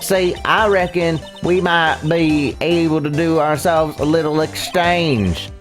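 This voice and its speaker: Woman putting on a brash folksy Southern woman photographer. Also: sits low. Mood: wheedling. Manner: folksy, rambling, halting. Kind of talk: voiced visual novel playthrough. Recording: faint game music behind. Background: video game music.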